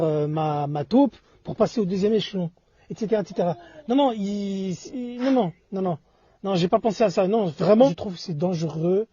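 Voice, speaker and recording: High-pitched husky man, halting, muffled lo-fi. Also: warm tone, no echo. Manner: halting, repeats and reformulates phrases. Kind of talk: casual two-person discussion. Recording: dull lo-fi audio.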